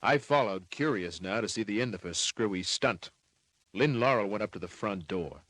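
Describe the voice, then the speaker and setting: An actor playing a wry, hard-boiled private detective in an old-time radio drama, laconic, slangy and cynical. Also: male voice, lowish voice, animated, normal speed, a bit bright, dry recording. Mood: wry narrating.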